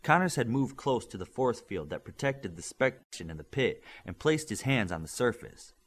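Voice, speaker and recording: Man narrating an audiobook, lively pitch. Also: normal speed. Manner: steady, expressive storytelling. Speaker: audiobook reader.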